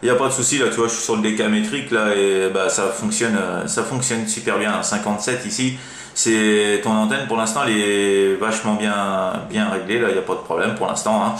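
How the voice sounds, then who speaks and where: A man from France with a fairly deep voice talks casually as a radio hobbyist. He rambles hesitantly, padding his phrases with little tags. The room echoes around him and a slight noise sits underneath. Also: fairly even intonation, a little fast, fairly bright tone. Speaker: CB radio operator. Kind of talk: casual amateur radio chat. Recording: roomy echo, faint background noise.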